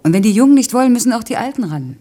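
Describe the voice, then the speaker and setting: An actress playing a headstrong, defensive working-class woman in a naturalistic radio play, curt and dryly self-mocking, with a husky voice. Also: on the high side, lively intonation, rapid-fire, dark tone. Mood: stubborn, earnest.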